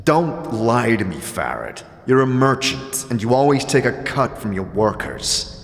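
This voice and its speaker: A man's voice: medium pitch, sing-song, a little slow, in a large hall. A man voicing a stern, impatient undead wanderer in a fantasy audio drama, blunt and demanding as he interrogates. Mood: threatening, impatient. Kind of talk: audio drama character acting.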